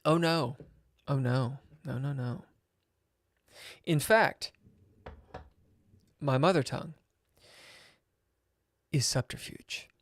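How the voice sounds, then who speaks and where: Unhurried male streamer, wry and profane, breaking into hushed asides. Dry, close sound. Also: medium pitch, dry recording. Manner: sardonic, swears, swings between loud outbursts and whispered asides. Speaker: chess streamer. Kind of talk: rambling storytelling on a live stream.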